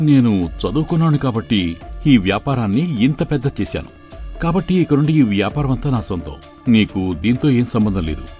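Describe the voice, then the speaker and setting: A man's voice: medium pitch, very animated, brisk, darkish tone, soft background music, natural voice. A man voicing a greedy, scheming elder brother who runs a shop, boastful and dismissive, in a dramatized moral story.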